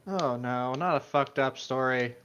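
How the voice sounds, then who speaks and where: A man's voice: mid-range voice, a little slow, a bit bright, clean tone, highs cut off. A man with a mid-range voice co-hosting a casual, conversational commentary show. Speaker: podcast co-host.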